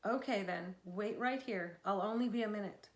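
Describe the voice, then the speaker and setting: A woman's voice: mid-range voice, moderate pace, natural voice. A woman author voicing an eager, coaxing young girl from a storybook, earnest and pleading. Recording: echoey room sound.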